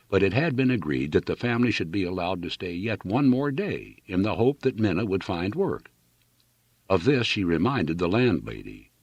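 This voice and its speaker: A man's voice: low voice, slightly rough, no room sound. A man reading a literary novel aloud as an audiobook narrator, in a dramatic storytelling style.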